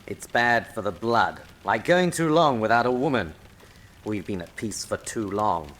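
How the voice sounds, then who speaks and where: Coarse, animated colonel henchman. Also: lowish voice, a little slow, slight background noise. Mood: callous. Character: brutal, uneasy colonel henchman to a criminal mastermind. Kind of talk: radio drama acting.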